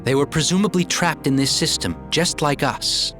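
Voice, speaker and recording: A serious, measured mission commander voiced by a man with a slightly high voice. He explains things formally in the style of a sci-fi game briefing, with music behind him. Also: fairly bright tone, natural voice. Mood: calm, instructive.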